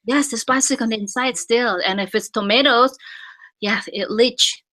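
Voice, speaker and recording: Bright-voiced woman giving halting advice. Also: on the high side. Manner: halting, many fillers and restarts. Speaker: fertility expert guest. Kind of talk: casual expert advice.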